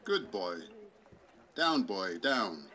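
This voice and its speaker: A man's voice: lowish voice, very animated, sharp tone, exaggerated voice. Man playing a pompous, swooping puppet president. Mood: commanding. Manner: grandiose, halting, theatrical. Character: puppet American president, pompous orator, easily flustered. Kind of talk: live puppet show parody oratory.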